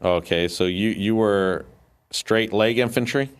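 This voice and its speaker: Male host with a fairly low voice, chatting casually and putting questions to guests. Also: a little slow, clear, resonant tone. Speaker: host, military veteran. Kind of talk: casual interview chat.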